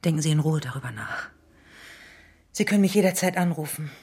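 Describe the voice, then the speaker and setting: A woman playing a direct, principled family doctor in a radio play, speaking in a measured, formal way. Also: low voice, lively intonation, a little mellow, slightly rough. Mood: grave, gentle. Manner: measured, matter-of-fact, formal.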